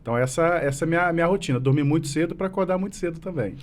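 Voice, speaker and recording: A man in his late thirties with a mineiro Brazilian Portuguese accent that has softened over the years. His voice sits fairly high for a man. He talks earnestly and at length, restarting phrases and leaning on fillers as he answers. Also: very fast. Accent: mineiro Brazilian Portuguese, fading. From Minas Gerais, Brazil. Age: 30s. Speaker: business association president, guest. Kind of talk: casual interview conversation.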